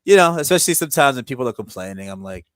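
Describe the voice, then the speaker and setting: A man from Ghana, a guest in a casual chat, speaking calmly and measured, with filler words. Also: mid-range voice, dry recording, clean studio sound.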